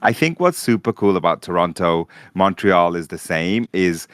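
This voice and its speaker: A man appearing as a podcast guest, talking in a relaxed, casual way and peppering his speech with "like". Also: low-pitched, normal speed, smooth, dry recording, clean studio sound. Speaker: podcast guest, fan club member.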